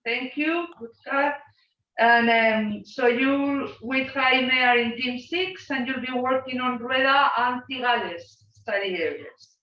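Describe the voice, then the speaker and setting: A woman's voice: high-pitched, slow pace. A woman hosting an online group session with informal introductions and a self-deprecating, joking manner. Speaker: host of an online student exchange session. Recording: roomy, distant, dull video-call audio.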